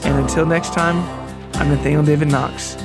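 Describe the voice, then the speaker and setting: A man reads scripted narration as host of a story podcast. His voice is slightly high and stays fairly even in pitch, over clearly audible guitar music and rain. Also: normal speed.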